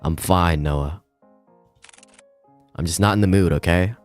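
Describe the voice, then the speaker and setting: Man voicing a deep, grumbling jaded detective. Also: unhurried, clear, resonant tone. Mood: irritated. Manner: grumbling, weary, sarcastic. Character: grumbling, jaded thirty-year-old male detective. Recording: roomy echo, music behind.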